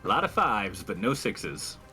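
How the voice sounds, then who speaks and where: A man's voice: on the low side, big pitch swings, a little slow, bright, forceful tone, faint music behind. A man chatting casually and jokingly as a player in a tabletop roleplaying game.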